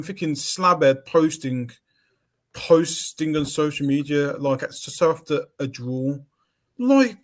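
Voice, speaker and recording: A man, hosting a casual fan reaction chat, animated and jokey with stumbling restarts. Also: a little high. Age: 30s. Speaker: channel host.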